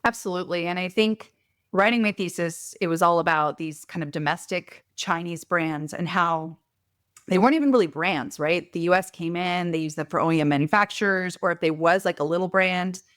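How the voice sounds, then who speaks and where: A woman consultant answering interview questions in a brisk, conversational way, often adding "like" and "right?". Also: on the low side, dry recording, studio quality. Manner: energetic, uses "like" and "right?" often. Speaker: guest mentor, e-commerce consultant.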